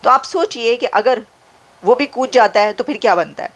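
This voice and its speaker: Woman, fairly high voice, conversational news commentary. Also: animated, moderate pace.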